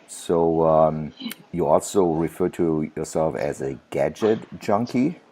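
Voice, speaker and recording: A man with a very deep, low voice hosts the conversation. His tone is husky, and he asks questions in a hesitant way, repeating words as he goes. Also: takes it slowly, warm tone. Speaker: podcast host, interviewer. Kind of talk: conversational interview.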